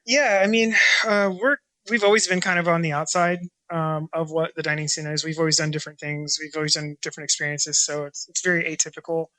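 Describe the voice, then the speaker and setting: A man with a bright, slightly high voice talks about his restaurant in a loose, rambling way. He leans on fillers and restarts and rarely finishes a thought cleanly. The sound is close and dry, with almost no room echo. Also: little pitch movement, a little fast, few pauses. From Washington State, USA. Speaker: chef and restaurant owner. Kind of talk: casual interview talk.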